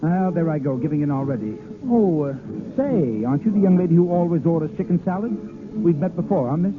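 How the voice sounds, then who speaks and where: An actor playing a glib, wisecracking, flirtatious ship passenger in a 1930s radio drama. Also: male voice, on the high side, expressive, a little fast, lightly acted. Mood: breezy, flirtatious. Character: brash, quippy, flirtatious male ship passenger. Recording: tinny old radio broadcast, music behind.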